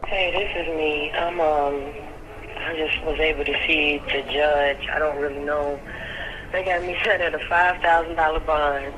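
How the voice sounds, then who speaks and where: A woman's voice: low voice, flat delivery, a little slow, muffled recording, noisy background. A woman leaving a casual voicemail over the phone, hesitant and bewildered.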